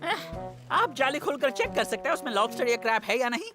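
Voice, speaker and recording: A man voicing a practical young fishing-boat worker in an animated children's cartoon dub. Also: extremely high pitch, fairly quick, over music.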